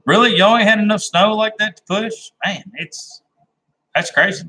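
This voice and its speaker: Man, live-stream host, plain country talker, rambling, bright tone. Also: high voice, normal speed, low-quality recording. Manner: rambling and laid-back, with many "uh"s and restarts. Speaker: livestream host chatting with viewers. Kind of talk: casual live-stream chat.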